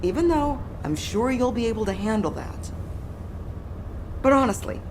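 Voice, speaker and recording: Woman playing a high-voiced, sensible game colleague. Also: expressive, normal speed, background noise, close to an everyday voice. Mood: concerned, chiding. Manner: cautioning, matter-of-fact. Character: sensible, caring female colleague who gently scolds. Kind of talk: video game voice acting.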